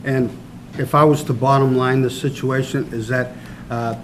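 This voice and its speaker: Halting man chairing a board meeting. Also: medium pitch, fairly even intonation. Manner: halting, many uhs and restarts. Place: school board meeting room. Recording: noisy meeting-room recording.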